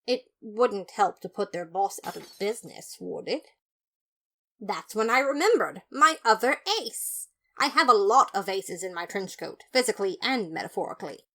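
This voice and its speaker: A woman with a medium-pitched voice narrates an audio story. She delivers first-person detective narration in a dramatic, mock-serious way, playing up the comic noir style. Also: lively intonation, moderate pace, sharp tone. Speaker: fan fiction narrator.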